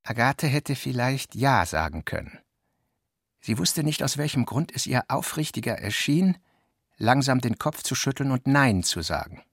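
Gravelly male narrator, close and dry. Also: medium pitch, expressive, normal speed, no echo. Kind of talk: literary narration in a radio play.

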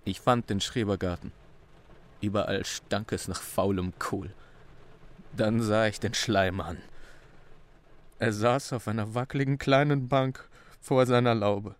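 An actor plays a young man weighed down by guilt in a radio drama. The voice is fairly low, sombre and restrained. He recounts his story plainly, like a subdued confession. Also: fairly even intonation, measured pace, long pauses, close to an everyday voice. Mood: grim. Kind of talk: radio drama monologue, recounting in past tense.

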